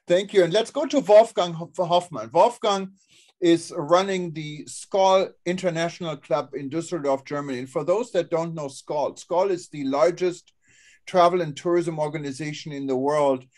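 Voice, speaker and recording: A man's voice: fairly high pitch, normal speed, a little mellow. Hesitant male host of a video meeting. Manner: hesitant, filler-heavy introductions. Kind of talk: online meeting hosting. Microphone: video call.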